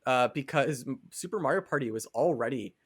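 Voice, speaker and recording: A man's voice: mid-range voice, animated, brisk, husky, no room sound, studio quality. A man co-hosting a podcast, chatting casually and thinking aloud a little hesitantly. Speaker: podcast co-host. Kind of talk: casual chat.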